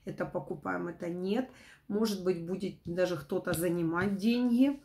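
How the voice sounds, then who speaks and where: A middle-aged woman with a not fully recovered voice, giving an informal tarot forecast to camera in a halting, rephrasing way. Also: lowish voice, warm tone. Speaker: tarot reader, host. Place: small room at home, indoors.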